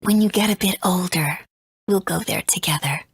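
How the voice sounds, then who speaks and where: A woman voicing a gentle, soothing young mother in a video game, with a breathy voice. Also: on the low side, moderate pace, no room sound. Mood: tender. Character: gentle, loving young mother telling a story.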